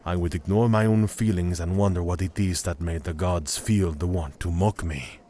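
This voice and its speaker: Man acting a deep-voiced haughty cleric. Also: fairly even intonation, moderate pace, mellow, natural voice. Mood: proud, composed. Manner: formal, self-assured, matter-of-fact. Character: haughty, rigid male cleric of the order god. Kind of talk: fantasy audio drama acting.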